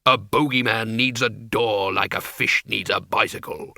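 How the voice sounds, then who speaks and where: A man voicing a huge, hairy, cheerful bogeyman in a slow, matey, eager manner for a storybook. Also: lowish voice, fairly even intonation, gravelly, pushed and bright, no room sound, studio quality, full character voice. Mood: elated. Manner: slow, friendly, matey delivery.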